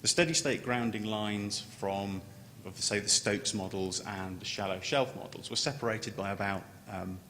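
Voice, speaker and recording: A man giving an unscripted technical conference presentation as a scientist, halting and often repeating words as he starts a phrase. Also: bright, forceful tone. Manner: halting, with stammered word repetitions. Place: large conference room. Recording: echoey hall, noticeable background noise, low rumble.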